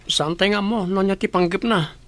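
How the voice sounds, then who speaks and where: A woman's voice: mid-range voice, normal speed, lightly acted. A woman playing a sensible elder sibling, matter-of-fact, in an Ilocano radio drama. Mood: explaining.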